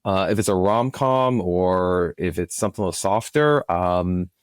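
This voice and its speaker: A man's voice: low-pitched, normal speed, smooth, dry recording, studio quality. A man speaking casually as an interview guest, often repeating words as he thinks his way through an answer. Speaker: producer, podcast guest.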